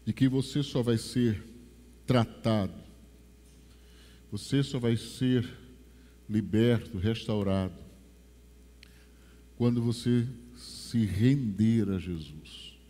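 A man in his forties from Brazil, with a Rio de Janeiro accent, preaching a sermon on a church stage through a headset mic, building to strong emphasis and then dropping to slow, hushed lines. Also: fairly low pitch. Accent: Rio de Janeiro Portuguese. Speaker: pastor. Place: large church stage with a drum kit. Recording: long church reverb.

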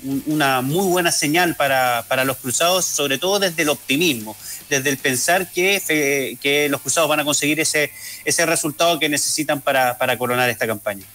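A man with a Chilean accent, co-hosting a sports talk panel and giving halting, analytical takes. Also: on the high side, pushed and bright. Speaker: sports commentator co-host.

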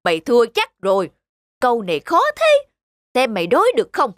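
A woman's voice: fairly high pitch, wildly swooping pitch, fairly quick, no echo, studio quality. A woman doing a pompous old scholar bully. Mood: scornful. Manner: haughty, scolding. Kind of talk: cartoon voice acting. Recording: music playing under voice.

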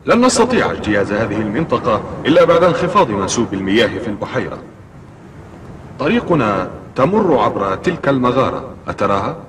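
A man's voice: medium pitch, very animated, fast, natural voice. Man acting as middle-aged cartoon uncle, fatherly guide, hugely swinging intonation, distant noisy old soundtrack. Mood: instructive. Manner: instructive, fatherly, theatrical. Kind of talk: dubbed cartoon acting in formal Arabic.

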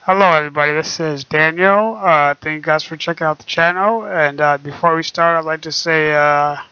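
A young man talking quietly and hesitantly with many fillers, recorded on his laptop microphone at home. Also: on the high side, normal speed, faint music behind. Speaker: vlogger. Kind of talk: casual screen-recorded talk. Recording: dull lo-fi laptop mic.

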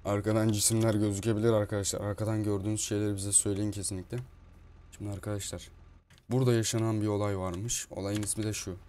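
A man with a fairly low voice hosts a game roleplay video. He improvises comic commentary and swears freely. Faint noise and computer clicks sit behind him. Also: flat delivery, quick pace, dark tone. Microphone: gaming mic at a computer. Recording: constant noise with keyboard and mouse clicks.

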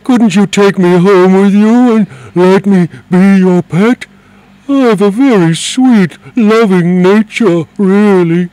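A lonely, sweet-natured storybook dragon, as a man reads him aloud with a raised, plaintive voice. He talks slowly and wheedles, hopeful to be useful again. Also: high voice, mellow, clean tone, dry recording, voice pushed up, low-quality recording. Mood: pleading.

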